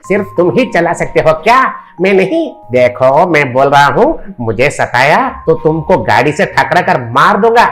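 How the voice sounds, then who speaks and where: अभिनेता की आवाज़ में अड़ियल, डींगें हाँकता मंदबुद्धि आदमी. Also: male voice, fairly high pitch, sing-song, moderate pace, a bit bright. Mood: defiant. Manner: blustering, repeats words, childish driving noises. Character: dim-witted, stubborn, boastful man obsessed with driving lorries. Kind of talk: Hindi animated comic story dialogue.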